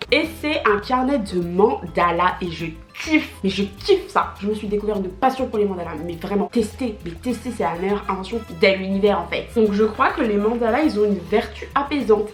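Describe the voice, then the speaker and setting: Teenage girl, chatty haul vlog, slangy, excitable, roomy room echo. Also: mid-range voice. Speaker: vlogger. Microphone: camera mic.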